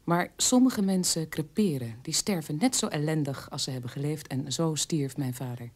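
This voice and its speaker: A low, husky woman's voice plays a hardened nurse. She delivers a cold, matter-of-fact radio drama monologue without pity. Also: normal speed, a little mellow. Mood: cold, unsentimental.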